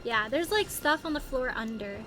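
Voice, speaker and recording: A woman's voice: high-pitched, expressive, moderate pace. A woman live-streaming a game, chatting casually to viewers and thinking aloud in a playful, exclamatory way. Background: music behind the voice.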